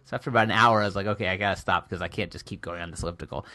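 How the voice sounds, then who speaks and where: A man hosting a podcast in casual chat, talking loud and close to the mic with a booming voice and teasing asides. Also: dry recording. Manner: chatty and teasing, quick asides. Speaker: podcast host. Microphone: close podcast mic, pops.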